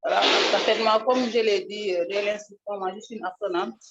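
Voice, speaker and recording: A woman with a cold and a hoarse voice, introducing herself hesitantly in an online training. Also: medium pitch, steady tone, a little fast. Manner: hesitant, brief phrases. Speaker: participant. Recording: noisy muffled call line.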